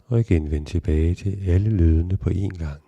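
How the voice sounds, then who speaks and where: A man guiding a relaxation meditation in a calm, measured, instructional way with long pauses. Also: sits low, vocal fry, lo-fi sound. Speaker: yoga nidra guide.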